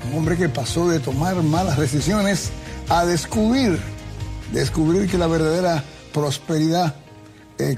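Man, pastor host, raspy gravelly voice, friendly short questions, faint music behind. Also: on the high side, darkish tone.

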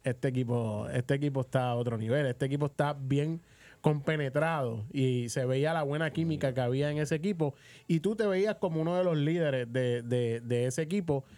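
A man's voice: mid-range voice, a little fast, mellow. A man with a Puerto Rican accent hosting a casual sports interview on the radio, chatty and often repeating words as he works out his phrasing. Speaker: radio show host and interviewer. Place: radio booth.

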